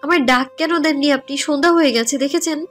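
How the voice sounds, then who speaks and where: A woman's voice: high-pitched, a little fast, natural voice. A woman with a Bangladeshi accent playing a headstrong, caring young college student in an audio romance, earnest and insistent. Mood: annoyed, stubborn. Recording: music under the voice.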